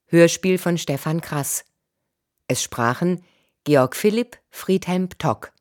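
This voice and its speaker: A woman announcer reading radio credits in a measured, formal way. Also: low voice, dry recording, clean studio sound.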